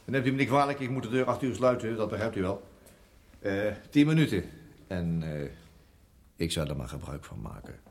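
An actor playing an awkward, kindly prison guard in a radio drama, stumbling hesitantly over delicate words. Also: male voice, fairly low pitch, expressive, brisk. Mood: apologetic. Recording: noisy old radio play recording.

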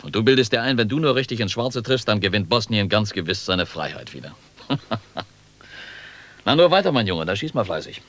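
A low-pitched, wry military officer in a radio drama. He talks down to others with sly, condescending humour while keeping his own position guarded and correct. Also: sing-song, fairly quick, fairly bright tone. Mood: mocking. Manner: sardonic, condescending, chuckling at the young. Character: wry, guarded army major, patronizing yet obedient volunteer leader. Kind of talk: German radio drama acting.

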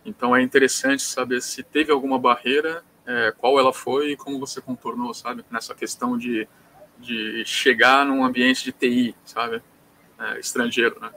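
Host: a man with a mid-pitched, bright voice, relaxed questions, faint hiss. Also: moderate pace, low-quality recording. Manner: relaxed, filler-heavy questions. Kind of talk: live stream interview.

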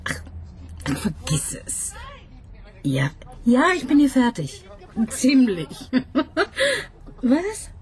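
An actress playing a wry, teasing woman police detective in a radio crime drama, sharp with her words. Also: on the high side, heavily acted. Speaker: homicide detective. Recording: radio play with clear background noise.

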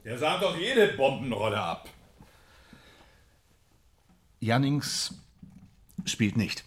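A man's voice: mid-range voice, very animated, measured pace, husky. An actor playing a proud, touchy stage actor in a radio drama, boastful and emphatic in his delivery. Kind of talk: naturalistic radio drama dialogue.

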